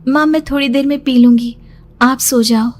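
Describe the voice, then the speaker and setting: A woman voicing an anxious young pregnant daughter in an animated horror story. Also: high voice, moderate pace. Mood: uneasy, questioning.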